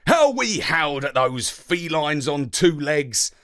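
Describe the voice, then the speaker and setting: A British man reads a children's story with hugely animated intonation. His voice sits a little high, and he takes his time, delivering it theatrically and deliberately. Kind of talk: expressive children's story narration.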